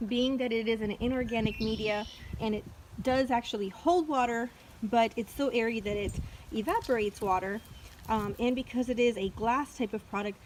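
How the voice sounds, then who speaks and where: A woman who is losing her voice, a hoarse orchid grower chatting casually outdoors with lots of ums and uhs. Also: on the high side, darkish tone, slight background noise, rough recording. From Florida. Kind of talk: casual vlog walkthrough. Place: outdoors in a chilly backyard.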